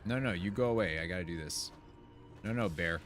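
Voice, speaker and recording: A man streaming a video game, chatting in an informal, slangy, expressive way and reading quest text aloud. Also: sits low, a little fast. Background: game music.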